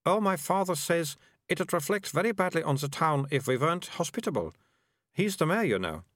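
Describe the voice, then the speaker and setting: A prim, bossy young girl who is always sure she's right, as a man puts her on. Her voice sits high and swoops with lively pitch. It is recorded close and dry. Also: moderate pace, no room sound. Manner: prim, know-it-all, theatrical. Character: bossy, imaginative young girl, mayor's daughter.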